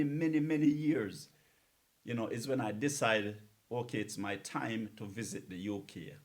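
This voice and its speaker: Earnest male DJ repeating words for emphasis. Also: mid-range voice. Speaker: radio DJ. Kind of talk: casual talk between songs.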